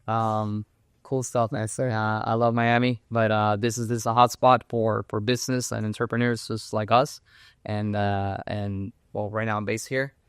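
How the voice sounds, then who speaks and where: A young man from Cuba, a guest in a casual interview, who talks haltingly and often restarts his phrases. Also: lowish voice, flat delivery, clean tone, dry recording, studio quality.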